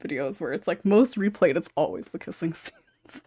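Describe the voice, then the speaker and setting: A woman's voice: lowish voice, expressive, normal speed, mellow, husky, no room sound, clean studio sound. A woman hosting a casual group podcast, chatty and often giggly. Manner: giggly, laughs often between remarks. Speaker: podcast host.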